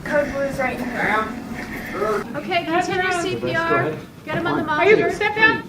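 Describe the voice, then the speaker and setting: An actress playing a brisk, urgent hospital nurse in an audio drama. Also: female voice, very high voice. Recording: echoey, distant, busy crowd noise.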